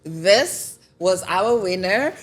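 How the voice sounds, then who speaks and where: A woman's voice: medium pitch, animated, very slow. A young woman hosting a casual interview, chatty and teasing, with faint music in the background. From Tanzania. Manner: chatty, teasing, laughs easily. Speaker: podcast host.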